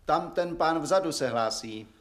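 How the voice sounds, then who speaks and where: A man playing an officious press conference chairman in a radio play, formal, brisk and commanding. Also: on the high side, animated, fairly bright tone.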